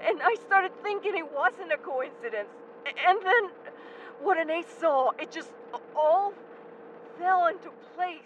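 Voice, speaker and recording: An actor playing a haunted, guilt-ridden young person who speaks haltingly and stammers, in an audio drama. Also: very high voice. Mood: agitated, defensive. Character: Daniel, haunted guilt-ridden young man confessing childhood trauma. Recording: dull, noisy lo-fi recording.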